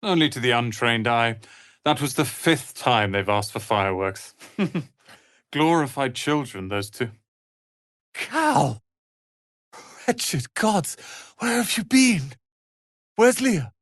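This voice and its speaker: Proud young male wizard, haughty, articulate, theatrical, sharp-tongued, fantasy video game, dry close sound. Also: medium pitch, animated, takes it slowly, pauses often, dry recording. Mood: angry, indignant.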